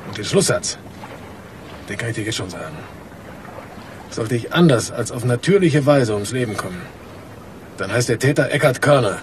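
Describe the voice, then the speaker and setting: An actor playing a bitter, insistent elevator fitter in a German radio crime drama. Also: male voice, mid-range voice, natural voice. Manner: confrontational, insistent. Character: bitter, accusing male elevator fitter fearing for his job. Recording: noisy ambience behind the voice.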